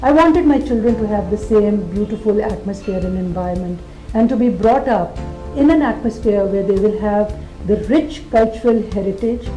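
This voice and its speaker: A middle-aged woman with a Pakistani accent speaks in a documentary interview, building her points in long, measured lists. Her voice is mellow and relaxed. It rings in a roomy space with faint music behind her. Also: medium pitch. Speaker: school founder.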